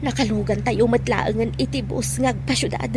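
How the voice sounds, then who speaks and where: A woman's voice: a little high, steady tone, moderate pace, background noise, natural voice. A woman with a Filipino accent playing a nervous, loyal housemaid in a radio drama. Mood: relieved, anxious. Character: frightened, anxious housemaid loyal to her madam.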